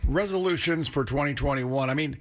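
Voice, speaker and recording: A man's voice: medium pitch, a little fast, over music. A man working as a radio DJ, talking in a casual, conversational way between songs on a close studio mic. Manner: chatty, conversational. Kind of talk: casual music radio DJ patter. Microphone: close studio radio microphone.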